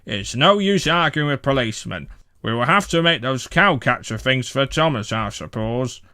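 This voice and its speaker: A man's voice: medium pitch, lively intonation, moderate pace, bright, forceful tone, dry recording, clean studio sound. A man voicing a pompous but kindly railway controller who blusters, in a children's storybook. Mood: resigned. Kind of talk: children's storybook character reading.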